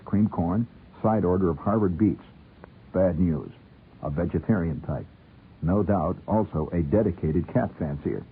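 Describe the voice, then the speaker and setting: A man's voice: deep voice, a little hiss or hum. A middle-aged American man hosting a radio show and telling a humorous story conversationally, with a wry, theatrical manner that savors ornate wording. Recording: muffled narrow-band old radio broadcast.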